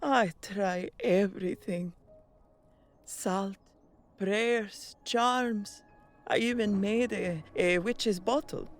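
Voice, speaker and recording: A jittery, superstitious woman, acted by a woman, in an audio drama, talking slowly in halting, broken English. Faint music plays behind her. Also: medium pitch. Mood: wary. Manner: nervous, halting, broken English with Spanish words. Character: frightened superstitious woman, café worker. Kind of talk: audio drama table read.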